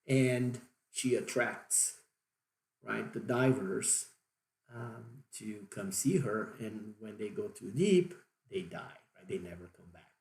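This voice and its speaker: A man's voice: fairly low pitch, takes it slowly, vocal fry, darkish tone, reverberant, rough recording. A Brazilian man in his forties explaining at length with many fillers and restarts, in a Brazilian accent. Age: 40s. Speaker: diving vlogger. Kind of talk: unscripted explanation.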